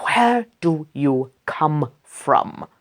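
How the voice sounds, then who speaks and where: A woman's voice: sits low, lively intonation, extremely slow, exaggerated voice. A woman voicing an uneasy male Roman governor in a read-aloud scripture story, raised and questioning.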